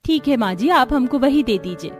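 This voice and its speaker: Lady doing meek village bahu in cartoon, high voice, polite n hesitant, rustic wording. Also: animated, moderate pace, music in the background. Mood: obedient. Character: meek, respectful young village daughter-in-law.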